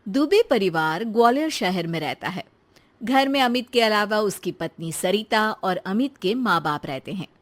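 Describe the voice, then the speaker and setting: A woman's voice: medium pitch, expressive, normal speed. A woman storyteller reading scripted narration for a cartoon tale.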